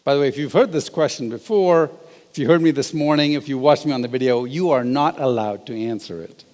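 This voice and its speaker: A man's voice: medium pitch, fairly quick, darkish tone. A man in his sixties giving a humorous talk as a guest speaker, telling stories with self-deprecating asides. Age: 60s. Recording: long reverb of a large hall.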